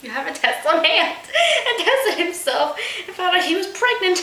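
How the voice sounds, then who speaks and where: A woman's voice: very high voice, big pitch swings, normal speed, bright, forceful tone, reverberant. A woman hosting a comic read-aloud of amateur fan fiction, giggly, mock-dramatic and incredulous in her delivery.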